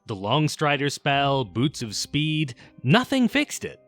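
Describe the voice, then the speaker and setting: A man's voice: mid-range voice, huge pitch swings, takes it slowly, fairly bright tone, no room sound. A man narrates a video essay in an informal, opinionated style. His mid-range voice moves up and down a great deal, giving the scripted lines a casual, conversational swing. Faint music plays behind him.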